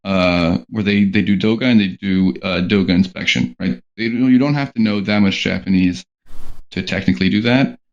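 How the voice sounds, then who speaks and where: A man with a fairly low voice talks through his points in a loose, rambling way. He leans on "like" and "um" between phrases. The sound is muffled and lo-fi. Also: normal speed. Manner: rambling, heavy on "like" and "um" fillers. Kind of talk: casual panel chat. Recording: dull lo-fi sound.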